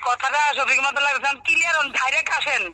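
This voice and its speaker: A woman's voice: high-pitched, fairly even intonation, racing, bright, forceful tone, no echo. A woman with a regional Bangladeshi accent in a casual phone conversation, questioning and plain-spoken. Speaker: call recipient. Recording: phone call with music behind.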